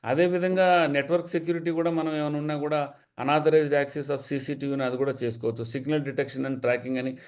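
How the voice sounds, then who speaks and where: A man's voice: fairly high pitch, steady tone, a little fast, a little mellow. A man with a Telugu accent explaining as an expert, mixing in English technical terms.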